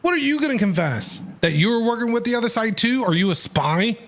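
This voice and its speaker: A man's voice: very high-pitched, lively intonation, fairly quick, fairly bright tone. A man streaming a game, giving casual, slangy, teasing reaction commentary. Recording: game music behind the voice.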